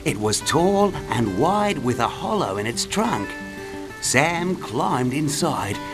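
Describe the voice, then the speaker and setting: A woman's voice: very deep voice, sing-song, slow pace. A woman narrating a scripted children's story as a storyteller. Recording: guitar music behind narration.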